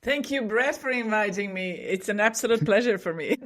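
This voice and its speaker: A woman's voice: medium pitch, fairly quick. A woman with a Hungarian accent talks as a guest on a podcast. She is a native Hungarian speaker, and she is chatty and cheerful, often breaking into laughs between her answers. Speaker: podcast guest, booking agency co-owner. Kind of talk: casual interview chat. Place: home in Cyprus.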